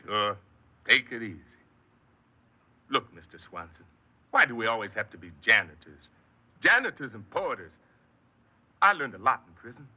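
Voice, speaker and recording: A man's voice: medium pitch, very animated, moderate pace, long pauses, bright, forceful tone, husky, heavily acted. An actor playing a desperate, sullen, sarcastic young American man in a 1950s radio drama. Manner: pleading, insistent. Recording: dull lo-fi old radio recording.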